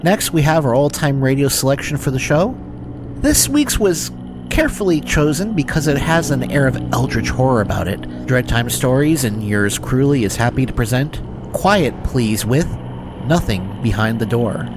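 Animated male podcast host over music. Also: medium pitch, moderate pace. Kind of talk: scripted show introduction.

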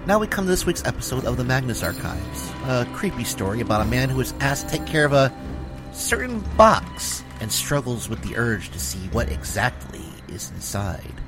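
A man's voice: medium pitch, big pitch swings, moderate pace. A man hosting a show, reading scripted introductions. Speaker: podcast host. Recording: loud music under the voice.

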